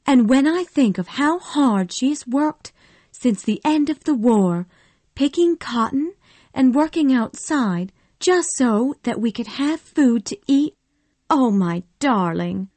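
Lady doing a sweet gentle young wife, soft and lilting, storybook voice, dry close sound. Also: high-pitched, lively intonation, takes it slowly, no echo, voice pushed up, full character voice. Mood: firm. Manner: soft and gentle, growing firm.

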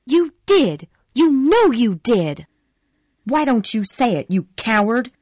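A woman voicing a hot-tempered young woman who lashes out in sharp, angry bursts. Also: medium pitch, lively intonation, normal speed, breathy edge, no room sound, pitched above the performer's own voice. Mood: furious. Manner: sharp, furious, shouting. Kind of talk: audiobook character reading.

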